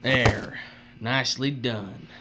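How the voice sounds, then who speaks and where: A man's voice: medium pitch, slow. A man playing a cruel, leering bully boss in a dystopian audio drama, with a taunting drawl and folksy, uneducated grammar. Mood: gloating, bossy. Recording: muffled audio with steady mains hum.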